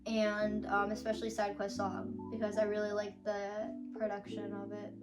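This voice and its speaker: A woman's voice: medium pitch, monotone, normal speed. A young woman, a songwriter, chatting casually and rambling with lots of "like" and small laughs. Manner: rambling, full of "like" and little laughs. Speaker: songwriter answering fan questions. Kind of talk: casual chat. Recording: guitar music playing under the voice. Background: guitar music.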